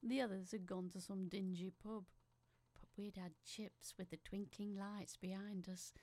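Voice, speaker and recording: Woman acting a creaky-voiced, rambling factory lass. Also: mid-range voice, fairly even intonation, a little slow, mellow, close to an everyday voice. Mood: fond, nostalgic. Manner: rambling, self-deprecating, wistful. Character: chatty, apologetic working-class Manchester factory woman, letter writer. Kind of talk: audio drama letter monologue.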